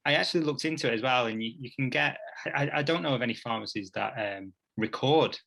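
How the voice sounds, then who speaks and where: A man's voice: medium pitch, fast talker, creaky, a bit bright. A man hosting a casual podcast discussion, making his points emphatically and restarting to stress them. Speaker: podcast host.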